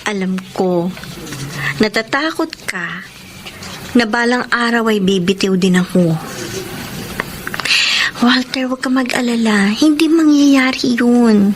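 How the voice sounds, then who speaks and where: A woman's voice: fairly high pitch, takes it slowly, long pauses, slight background noise. An actress playing a feisty young woman student in a Filipino radio drama, guarded yet devoted. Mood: tender concern. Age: young adult.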